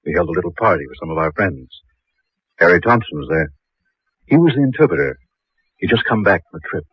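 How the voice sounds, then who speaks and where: Radio drama narrator, male engineer: rough husky low voice, grim storyteller, roomy dull recording. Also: animated, brisk. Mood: somber, reflective. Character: grim male oil engineer recounting an eerie past ordeal.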